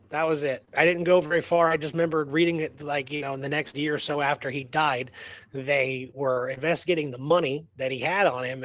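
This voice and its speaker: Musing man in casual talk, higher-pitched, dry sound. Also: no room sound. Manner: musing, poses rhetorical questions and seeks agreement with tags. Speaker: co-host of a history radio show. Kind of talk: casual conversational discussion of a historical mystery.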